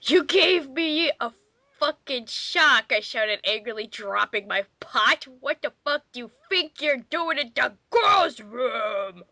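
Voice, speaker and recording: A woman reads aloud for comedy in a high, bright voice. Her delivery is exclamatory and theatrical, and the sound is close and dry. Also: lively intonation, takes it slowly, no echo. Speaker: player in a dramatic reading game. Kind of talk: dramatic comic reading aloud among friends.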